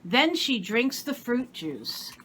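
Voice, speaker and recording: A woman with a bright, fairly high voice reads aloud as a storyteller. Her narration is scripted and expressive, in the manner of a storybook. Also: slow pace, slight background noise. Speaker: story reader.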